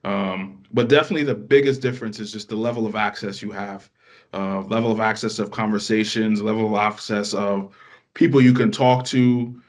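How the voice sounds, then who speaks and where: An American man speaking casually as a podcast guest, in reflective, measured phrases. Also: on the low side, steady tone. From United States. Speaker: podcast guest, language student. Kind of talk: casual interview answer.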